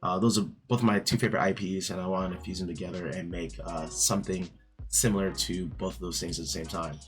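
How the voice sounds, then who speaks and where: Low raspy guy, just woke up, rambling art vlog with lots of ums, faint music behind. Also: fairly even intonation, fast. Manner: rambling, many fillers and restarts. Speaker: art YouTuber. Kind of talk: casual book review vlog. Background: soft background music.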